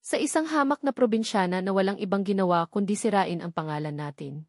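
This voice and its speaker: A woman's voice: mid-range voice, a little fast, darkish tone, no room sound, close to an everyday voice. Woman as rich disapproving mother, melodrama villain: condescending, prickly, snobbish, dry close mic. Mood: scornful anger. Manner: irritated, condescending. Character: haughty, scornful wealthy mother, a grandmother.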